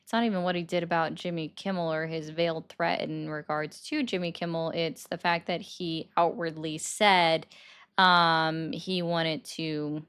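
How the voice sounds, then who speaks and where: A woman in her forties hosting a casual call-in livestream, talking briskly and directly with callers. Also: deep voice, fairly even intonation, fairly bright tone, clear, resonant tone.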